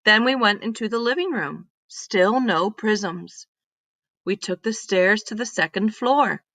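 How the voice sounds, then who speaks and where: A woman reading a children's story aloud, expressive and instructive, speaking directly to young listeners. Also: medium pitch, a little slow, no room sound.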